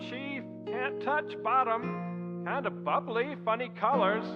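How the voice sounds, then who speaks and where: Laconic constable, very high pitch, muffled sound, radio drama, music behind. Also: male voice, takes it slowly. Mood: puzzled. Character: laconic male constable reporting to his chief. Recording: dull distant voice under music.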